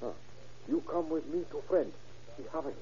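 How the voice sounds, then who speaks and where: An actor playing an evasive, ingratiating drug dealer with halting broken English and a husky voice in an old radio drama. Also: male voice, fairly high pitch, animated, warm tone, full character voice. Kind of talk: 1940s radio acting. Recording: muffled old radio recording.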